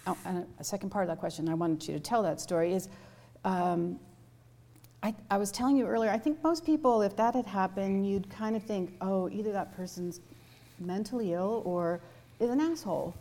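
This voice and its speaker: Gravelly low-voiced woman, chatty interviewer. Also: normal speed, mellow. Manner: chatty, hesitant, many fillers. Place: event space with an audience.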